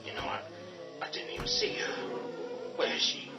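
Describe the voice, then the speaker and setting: A man's voice: normal speed, lightly acted. An actor playing a tough, commanding man who leads survivors in a radio drama, speaking curtly with bursts of anger. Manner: curt, forceful, bursts of anger. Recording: distant voice with loud music.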